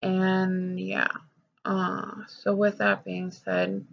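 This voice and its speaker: A woman's voice: mid-range voice, big pitch swings, drawn-out words, creaky, lo-fi sound. A young woman talking candidly in a personal vlog, rambling with lots of fillers and swearing, her voice nasal and stuffed up from a cold. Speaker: vlogger.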